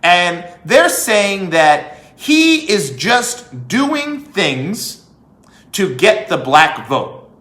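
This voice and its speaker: Male vlogger, high voice, swooping intonation, conversational, emphatic, opinionated commentary. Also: very animated, very slow, a bit bright. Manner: emphatic, with rhetorical questions and repeated phrases; conversational, often checking in with "okay" and "right". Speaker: vlog host. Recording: echoey room.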